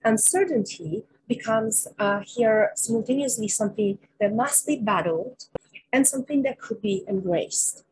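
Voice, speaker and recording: A middle-aged woman giving a keynote lecture over a video call, speaking in a measured way with many pauses and fillers. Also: fairly high pitch, darkish tone, husky, rough recording. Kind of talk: academic lecture over slides. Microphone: webcam on a video call.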